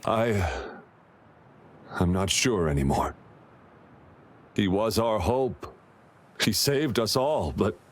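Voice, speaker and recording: A man playing a gentle, desperate, protective father in a video game cutscene, earnest and tender. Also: fairly low pitch, animated, takes it slowly, long pauses. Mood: troubled.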